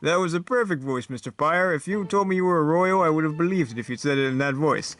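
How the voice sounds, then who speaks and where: A man's voice: fairly high pitch, quick pace, a bit bright, faint music behind, close to an everyday voice. A man voicing a self-assured, casual king who chats and explains as a guest on a mock talk show. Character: pompous male king guest, cool and chatty.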